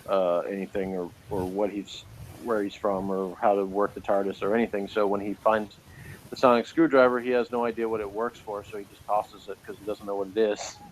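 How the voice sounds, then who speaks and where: Low-pitched man, halting casual reviewer. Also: little pitch movement, a little hiss or hum, rough recording. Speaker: podcast co-host reviewing audio dramas.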